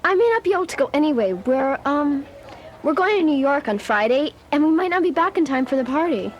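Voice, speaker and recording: Friendly, high-voiced schoolgirl. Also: animated, brisk. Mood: evasive. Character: friendly, careful schoolgirl club treasurer. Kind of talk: scripted audio drama dialogue. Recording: slightly noisy cassette transfer.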